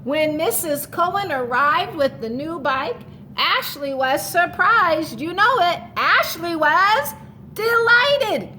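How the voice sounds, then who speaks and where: A woman's voice: extremely high pitch, lively intonation, slow pace, bright, forceful tone, slight background noise. A woman reading a picture book aloud to children like a teacher, expressively stretching key words and adding explanatory asides for young listeners.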